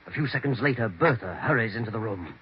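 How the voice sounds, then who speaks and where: An actor narrating a ghost mystery in a grave, foreboding, measured 1940s radio style. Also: male voice, fairly low pitch, expressive, slight background noise, natural voice. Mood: ominous, grave. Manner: dramatic, ominous, measured. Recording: dull 1940s radio recording. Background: dramatic music.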